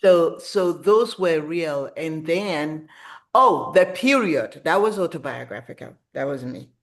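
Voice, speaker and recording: A woman's voice: sits low, lively intonation, unhurried. Woman author, reflective storyteller. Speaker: author being interviewed. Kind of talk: casual conversational storytelling about writing.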